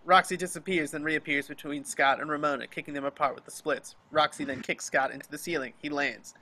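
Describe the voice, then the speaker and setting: A man's voice: a little high, steady tone, a little fast, sharp tone. A man reading stage directions as scripted narration for a dramatic table read over a video call.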